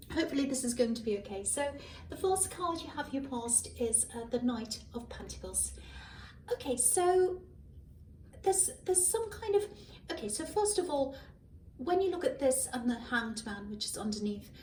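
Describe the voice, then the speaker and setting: A hoarse woman giving a casual tarot reading, musing aloud with many ums and self-corrections, recorded on a faulty microphone. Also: high-pitched, darkish tone, slight background noise. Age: adult. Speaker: tarot reader. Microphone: malfunctioning microphone at a distance. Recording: echoey room, far from a faulty mic. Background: faint passing cars.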